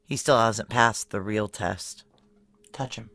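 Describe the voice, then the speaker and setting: An actress playing a tough, wary female security chief in a noir science fiction audio drama, speaking in a clipped, deadpan, commanding way. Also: rumbling low, takes it slowly, exaggerated voice. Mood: dry, guarded.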